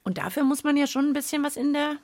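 A woman's voice: sits high, fast talker, a little mellow, no echo. A woman bantering casually and playfully as co-host of a children's science show.

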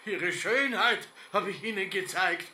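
An actor playing an obsessed, dying old farmer with an Austrian accent, declaiming stubbornly and grandly in a literary radio drama. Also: male voice, high voice, expressive, measured pace, sharp tone, echoey room, exaggerated voice. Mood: defiant, exultant.

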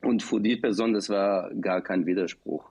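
A man with an Israeli accent, a guest on a radio discussion, speaking haltingly with many fillers and restarts. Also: on the low side, steady tone, a little mellow, breathy edge. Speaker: studio guest, professor.

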